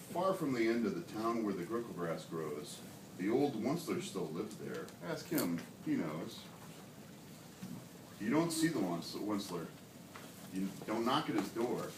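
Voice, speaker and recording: A man reading a rhyming storybook aloud in a measured, theatrical way to children in a classroom. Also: mid-range voice. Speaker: guest reader for a children's class. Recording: echoey noisy room, distant mic, background clatter.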